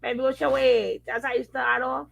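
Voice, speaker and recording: A woman's voice: high-pitched, flat delivery, moderate pace, creaky. A woman commenting casually on a live stream, skeptical and wry, with laughing asides.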